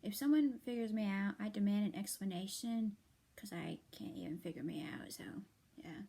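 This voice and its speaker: A tired young woman venting late at night to her viewers, soft and halting, often half-whispered, with a slightly hoarse voice and many fillers. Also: mid-range voice. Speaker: vlogger.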